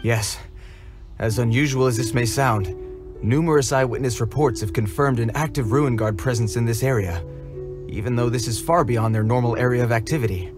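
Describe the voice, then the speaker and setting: A man's voice: medium pitch, moderate pace, lightly acted. A man voicing a formal, dutiful guard soldier in a game cutscene, who gets flustered around children. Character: earnest, formal young male guard soldier. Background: game music.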